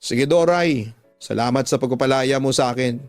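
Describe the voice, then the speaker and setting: A man's voice: medium pitch, normal speed, no room sound, faint music behind, pitched below the performer's own voice, natural voice. A man playing an apologetic, sorrowful unfaithful male partner in a radio drama. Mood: sad, pleading. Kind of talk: Filipino radio drama acting.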